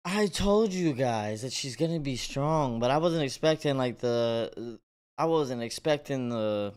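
A man hosting a reaction video, giving excited, casual commentary in slangy, exclamatory bursts. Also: medium pitch, measured pace, smooth.

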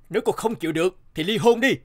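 A man's voice: high voice, fast, fairly bright tone. A man playing a cold, irritable, weary cheating husband in a melodramatic cartoon dub. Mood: irritated, cold. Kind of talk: melodramatic Vietnamese cartoon dubbing.

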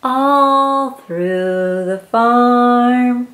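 A woman's voice: a little high, drawn-out words, fairly bright tone. A woman in her thirties reads a picture book aloud to young children in an exaggerated, sing-song, encouraging way. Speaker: children's read-aloud host. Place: small plain room indoors.

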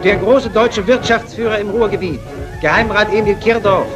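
A man's voice: sits high, normal speed, dull audio. A man making an announcement in the style of an archival newsreel. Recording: archive newsreel with music and crowd. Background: music and crowd noise.

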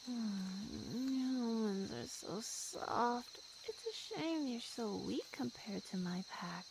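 A woman playing a languid, possessive feline monster girl in an ASMR roleplay, predatory yet affectionate, with drawn-out murmurs. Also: fairly high pitch, expressive, creaky, background noise, heavily acted. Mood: possessive, teasing. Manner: slow, drawn-out, purring murmurs and sighs.

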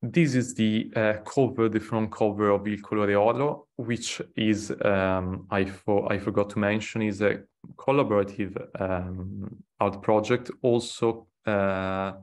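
Man giving a halting, measured lecture. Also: fairly low pitch, steady tone. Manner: measured, halting delivery with frequent fillers and restarts. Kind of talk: academic lecture. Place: small room indoors. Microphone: webcam on a video call.

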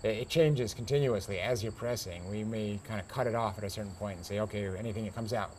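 A middle-aged American man, a farmer and winemaker, explains his work casually and haltingly in an interview. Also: fairly low pitch, darkish tone. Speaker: grape grower and winemaker being interviewed. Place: outdoor covered porch beside a pond. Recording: faint outdoor insect noise.

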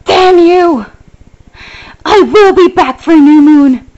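A woman voicing a defeated, vengeful male puppet villain with loud melodrama. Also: very high voice, slow pace, lo-fi sound, pitched above the performer's own voice.

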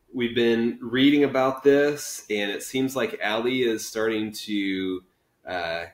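A man in his thirties reading a children's book aloud in a casual style, recorded in a living room. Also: on the low side. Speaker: reader, teacher. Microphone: camera a few feet away. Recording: roomy living-room echo.

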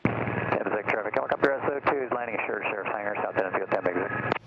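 A man's voice: fairly low pitch, racing, vocal fry. A man, a helicopter pilot, making position calls over an aircraft radio. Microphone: aircraft radio transmission. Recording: muffled aviation radio with noise.

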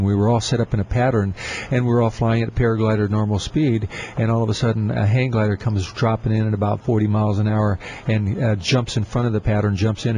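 A man co-hosting a talk show, explaining things in a measured way with frequent fillers. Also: on the low side, mellow, slight background noise. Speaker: podcast co-host. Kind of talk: casual instructional chat.

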